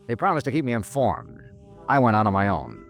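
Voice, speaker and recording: An actor playing a tough, terse, sardonic investigator in a 1950s radio noir drama, in both dialogue and narration. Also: male voice, on the low side, wildly swooping pitch, fairly quick, gravelly, faint music behind, heavily acted. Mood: matter-of-fact narration. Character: tough, blunt hardboiled insurance investigator.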